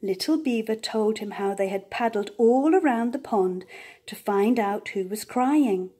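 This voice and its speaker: An older woman reading a children's story aloud as an expressive storyteller. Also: on the high side, measured pace, breathy edge.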